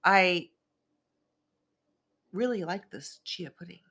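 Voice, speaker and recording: A woman's voice: on the low side, expressive, sharp tone, husky. A woman from Northern California hosting a casual chat show, a little congested and losing her voice, talking in a rambling, chatty way. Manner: rambling, chatty, quick asides. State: congested from a sinus rinse, losing voice. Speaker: host.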